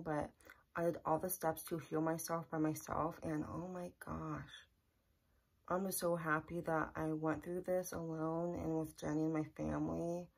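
A woman's voice: sits low, vocal fry, slightly rough. A young woman talking earnestly and plainly to the camera in a casual personal vlog from a small bedroom. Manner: earnest, repetitive, plain-spoken. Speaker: vlogger. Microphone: camera in front at close range.

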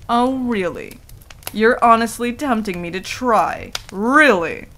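A woman's voice: a little high, lively intonation, takes it slowly, fairly bright tone, slight background noise, heavily acted. A woman playing a stubborn, easily flustered female werewolf who stammers defensively, in an ASMR roleplay. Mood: teasing, flustered. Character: flustered, stubborn tsundere female werewolf, young, secretly affectionate.

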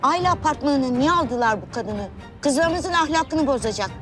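An actress playing a prim, nosy, moralizing neighbour in a television drama. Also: female voice, high-pitched, animated, normal speed, over music. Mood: nosy, disapproving.

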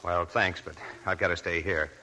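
Deep-voiced, reluctant young army lieutenant. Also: male voice, fairly even intonation, normal speed, pushed and bright. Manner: polite, hesitant. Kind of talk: 1940s radio drama acting. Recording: 1940s radio broadcast with music.

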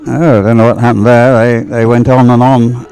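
Mellow-voiced male race commentator. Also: medium pitch, level tone, normal speed, smooth. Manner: conversational, hesitant, musing aloud. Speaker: sports commentator. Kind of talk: live dragon boat race commentary.

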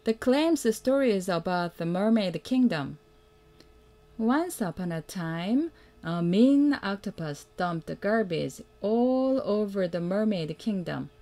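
Slow, mellow woman reading to children. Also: mid-range voice, lively intonation. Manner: simple, deliberate, prompting the listener with questions. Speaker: mother reading a picture book to children. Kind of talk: read-aloud children's storytelling.

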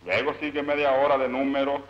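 A man's voice: medium pitch, level tone, fairly quick, close to an everyday voice. A man with a Mexican accent playing a cynical, pompous, scheming political boss in a satirical cartoon. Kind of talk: satirical animated film dialogue. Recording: dull old film soundtrack.

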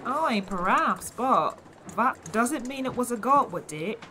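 A woman's voice: fairly high pitch, expressive, unhurried, sharp tone, a little hiss or hum. An actress playing a devout, skeptical older mother with folksy, rustic phrasing in a fantasy audio drama. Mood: doubtful, thoughtful.

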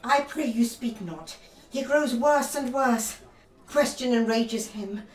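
A woman plays a commanding queen in a Shakespearean radio play. She speaks slowly in a fairly high voice. Her diction is formal and controlled. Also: poor audio. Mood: urgent, composed. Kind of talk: classical Shakespearean radio drama acting.

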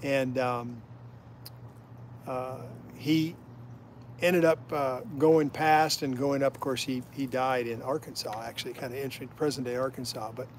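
A man's voice: mid-range voice, fairly even intonation, moderate pace, slight background noise. A middle-aged man from Iowa, the host's father, chatting on a fishing livestream by a canal, reading viewer comments and telling rambling history stories. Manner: folksy, rambling storyteller who drifts onto side tracks. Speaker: the host's father, guest storyteller. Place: outdoors beside a canal.

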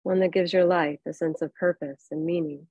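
A woman leads a guided relaxation as a meditation teacher. Her voice sits somewhat low, and she speaks in an unhurried, soothing way, leaving long pauses between phrases. The sound is very dry, free of room reflections. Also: steady tone, darkish tone, slightly rough, dry recording, dull audio. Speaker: yoga nidra meditation teacher.

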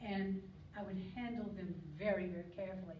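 An older woman gives a relaxed slide talk from a podium, chatty and wandering, filling gaps with ums and clearing her throat now and then. She is recorded by a camera far back in an echoey lecture hall. The sound is muffled, with steady background noise. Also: medium pitch, brisk. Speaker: guest speaker on local birds. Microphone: podium mic, recorded by a far camera.

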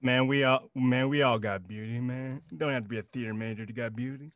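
A man's voice: medium pitch, a little fast, fairly bright tone, muffled recording. A young man bantering casually in a slangy, jokey way on a group video call. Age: young adult.

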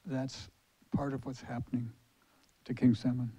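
A man's voice: mid-range voice, level tone, moderate pace, warm tone, husky. An older man in the audience of a large hall, explaining plainly into a handheld mic with some fillers. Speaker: audience member. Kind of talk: public comment at a lecture. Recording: dull lo-fi hall audio.

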